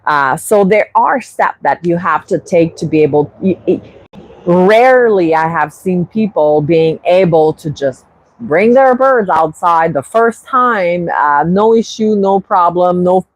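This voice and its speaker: A woman's voice: fairly low pitch, lively intonation, rough recording. A woman from Quebec with a French accent, English her second language, hosting a casual livestream and chatting to viewers with frequent restarts. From Quebec, Canada. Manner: unhurried, restarts often, reads viewer comments. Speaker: bird-keeping channel host.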